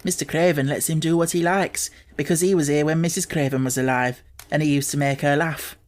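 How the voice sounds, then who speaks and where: A man's voice: fairly high pitch, steady tone, fairly quick, natural voice. A man voicing a cheerful, plain-spoken young Yorkshire housemaid in an audiobook, chatting in broad, homely dialect. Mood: frank, helpful.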